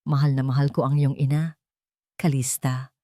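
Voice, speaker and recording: A woman's voice: a bass voice, level tone, normal speed, mellow, dry recording, studio quality, voice pushed down. A woman narrator voicing a grieving, devoted father in a story drama, solemn and earnest. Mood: tender. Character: grieving, devoted father revealing old family secrets.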